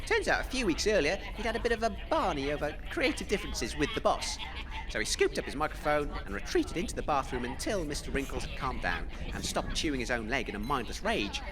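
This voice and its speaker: Man acting a pompous British radio DJ, self-important, flustered, extremely animated intonation, comedy audio drama. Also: fairly high pitch, quick pace. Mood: boastful. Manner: pompous, hesitant when challenged. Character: self-important, flustered wasteland radio DJ telling boastful anecdotes.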